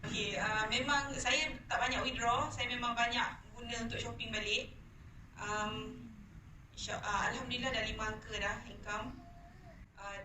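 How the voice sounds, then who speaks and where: A woman with a Malaysian accent giving an informal testimonial, mixing in some English words. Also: fairly high pitch. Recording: roomy, distant mic, noisy.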